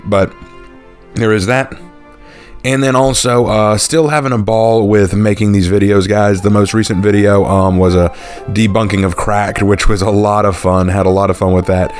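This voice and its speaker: Young man, relaxed, slightly low voice, faint music bed, casual off-the-cuff channel chat. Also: normal speed. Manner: relaxed, thinks aloud with fillers and restarts. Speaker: YouTube channel host. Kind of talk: casual unscripted talk to viewers.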